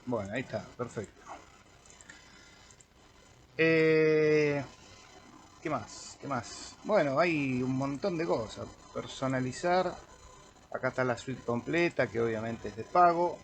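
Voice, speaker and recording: An Argentine man, a radio amateur slowly talking through an audio editor tutorial while clicking through menus. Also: mid-range voice. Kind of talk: screen-recorded software tutorial. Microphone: computer microphone.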